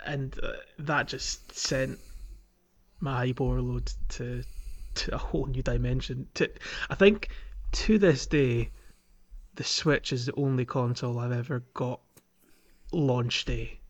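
A man hosting a podcast in casual conversation, thinking aloud in a halting way. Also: mid-range voice. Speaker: podcast host.

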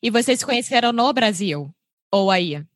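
A Brazilian woman with a thick Carioca accent talks with a lot of melodic movement in her voice. She is expressive and laughs easily in relaxed conversation. The sound is dry and close. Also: a little high, moderate pace, no echo. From Rio de Janeiro, Brazil. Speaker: English teacher and guest. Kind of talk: casual conversation.

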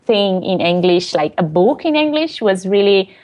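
A Brazilian woman speaks English as a second language, with a Brazilian Portuguese accent. She answers questions in a relaxed, halting way, full of fillers and restarts. Her voice sits at an ordinary middle pitch. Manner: hesitant, many fillers, laughs often. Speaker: guest author. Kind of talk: casual interview.

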